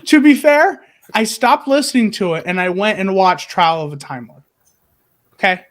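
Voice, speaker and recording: A man's voice: high voice, normal speed. A man talks casually on a fan podcast. He is blunt and irreverent, swearing freely as he gives his opinions in an informal, conversational way. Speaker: podcast panelist. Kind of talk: casual chat.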